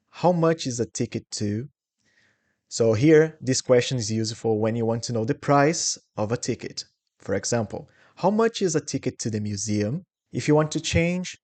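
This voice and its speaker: Brazilian-accented male English teacher, mid-pitched, patient and clear, repeats phrases for learners. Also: mellow. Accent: Brazilian Portuguese. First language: Portuguese. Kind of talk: English lesson.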